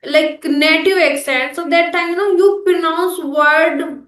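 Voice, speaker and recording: A woman's voice: very high voice, steady tone, measured pace, a bit bright, roomy sound, distant mic. A young woman with an Indian accent chatting casually in English, hesitant with many fillers and restarts. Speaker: host, English learner. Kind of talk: casual practice conversation.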